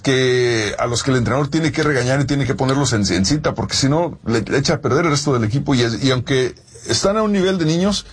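A man from Mexico, co-host of a sports talk show, explaining youth soccer in an animated way. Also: mid-range voice, fast, slightly rough.

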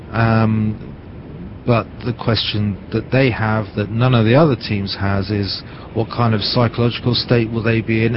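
Halting male football reporter, dull rumbly line. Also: background noise. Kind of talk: broadcast report and interview.

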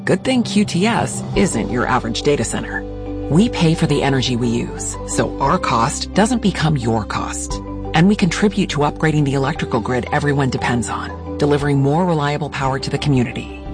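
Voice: mid-range voice, lively intonation, fairly quick. A commercial announcer narrating a scripted corporate advertisement. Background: music.

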